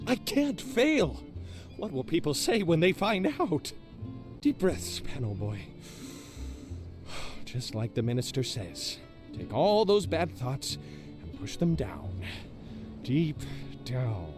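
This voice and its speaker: An actor playing a pompous, boastful self-styled hero in a video game, with grandiose, drawn-out delivery and insecurity underneath. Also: male voice, a little high, huge pitch swings, music in the background, heavily acted. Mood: distraught. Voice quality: theatrical, exaggerated delivery. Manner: grandiose, mock-heroic, drawn-out emphatic phrasing.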